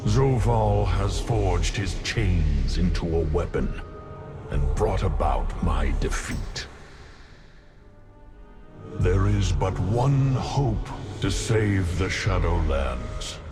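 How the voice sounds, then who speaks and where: A man playing an ancient, solemn immortal lord of death in a video game, speaking in a grave, portentous, measured manner. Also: low-pitched, animated, music in the background, exaggerated voice. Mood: grave foreboding. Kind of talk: video game cinematic acting.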